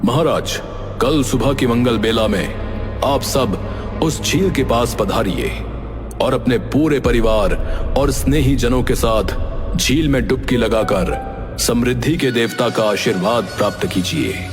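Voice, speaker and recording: A man voicing a cunning, vengeful cartoon monkey who speaks formally, measured and persuasively. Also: mid-range voice, over music, close to an everyday voice. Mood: respectful, persuasive. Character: cunning vengeful male monkey, smooth-talking and deferential to kings. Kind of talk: scripted cartoon story dialogue in formal Hindi.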